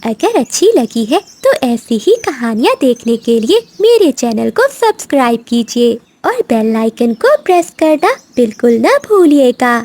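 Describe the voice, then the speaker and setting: A woman's voice: fairly high pitch, big pitch swings, measured pace, few pauses, darkish tone. A woman narrating a scripted cartoon moral story in storybook style.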